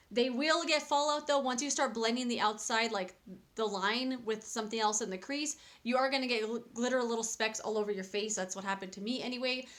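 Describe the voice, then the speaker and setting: Woman, thirties, fairly high voice, chatty, candid, rambling, casual review, small room at home. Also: a bit bright. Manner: chatty, candid, rambling with many fillers and restarts. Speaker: beauty vlogger.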